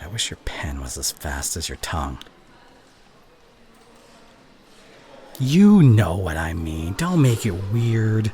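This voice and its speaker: Male actor as exasperated college student, low-pitched with lively swings, halting and teasing, ASMR roleplay, some background noise. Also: exaggerated voice. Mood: indignant, teasing. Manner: halting, sighing, sarcastic. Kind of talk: ASMR roleplay acting, one side of a conversation. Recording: clear background ambience noise.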